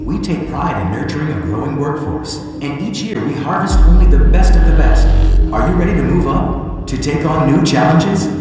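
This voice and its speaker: A woman's voice: low voice, expressive, moderate pace. A woman playing an upbeat corporate propaganda announcer in a video game, polished, promotional and earnest. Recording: echoey hall, distant, loud music behind. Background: loud game music.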